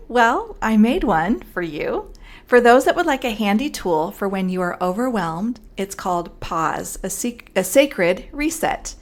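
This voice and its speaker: A woman hosting a podcast, speaking a scripted introduction straight to the listener. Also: medium pitch. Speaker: podcast host.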